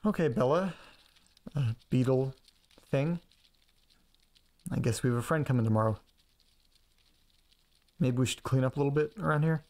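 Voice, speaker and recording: A man's voice: mid-range voice, normal speed, long pauses, a little mellow, slight background noise, lightly acted. A man acting as an anxious, chatty young man in an audio drama, rambling and hesitant in a casual, naturalistic way. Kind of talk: naturalistic first-person audio drama, talking to himself.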